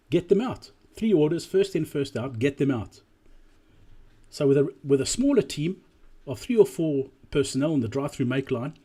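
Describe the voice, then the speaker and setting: A man giving an explanatory business presentation as a voice-over, speaking deliberately and emphatically and repeating key phrases, with a slightly husky voice. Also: a little high, warm tone. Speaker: presenter analysing drive-through performance.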